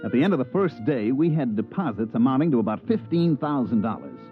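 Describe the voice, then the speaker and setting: A man's voice: medium pitch, very animated, fast, music in the background, natural voice. An actor playing a brazen male con man in a 1950s radio drama, glib and slangy. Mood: smug narrating. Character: fast-talking, brazen male swindler narrating his own con. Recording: dull vintage 1950s radio recording.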